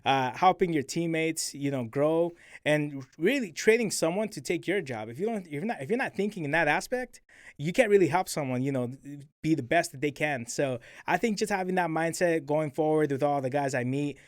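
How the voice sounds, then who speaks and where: A man's voice: fairly high pitch, expressive, brisk, no echo, studio quality. A man in his twenties, raised in New Mexico, chatting as a podcast guest in an upbeat, easygoing way, full of "you know, man". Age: 20s. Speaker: guest, roofing executive. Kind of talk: casual interview chat.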